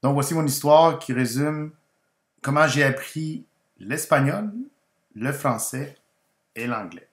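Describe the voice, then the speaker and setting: A man with Spanish as his first language narrates in an educational style, setting out each phrase deliberately. His voice sits at a medium pitch. The recording is roomy, with clear echo around the voice. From Guatemala, moved to Quebec, Canada aged ten. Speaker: language teacher and channel host. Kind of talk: personal story told as a slow educational narration.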